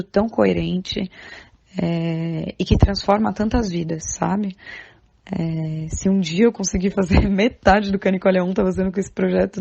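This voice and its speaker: A woman's voice: mid-range voice, creaky, dark tone, no echo, muffled recording. A Brazilian woman speaking informally and earnestly as a podcast guest, with emphatic, conversational delivery. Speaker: podcast guest, theatre artist and art educator. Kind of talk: informal spoken recommendation.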